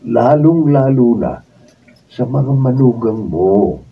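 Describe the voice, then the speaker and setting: A man's voice: medium pitch, animated, slow pace, gravelly, narrow, tinny audio. A man playing a wise, consoling elderly brother in a radio drama, earnest and philosophical, with a Filipino accent. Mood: earnest, encouraging. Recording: distant, phone-thin, noisy room recording.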